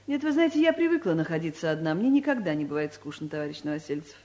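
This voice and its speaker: A woman's voice: deep voice, very animated, quick pace, darkish tone. A domineering female director in a radio play. She is formal, dry and categorical, giving blunt verdicts with strongly varied intonation. Her manner is imperious. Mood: dry, reserved. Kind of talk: radio play comedy acting.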